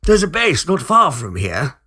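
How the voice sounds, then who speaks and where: A man's voice: fairly high pitch, huge pitch swings, a little slow, pushed and bright, breathy edge, voice pushed up, heavily acted. A man voicing a polite, muddled, old-fashioned little old man in his late sixties for a ghost story. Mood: earnest, rambling.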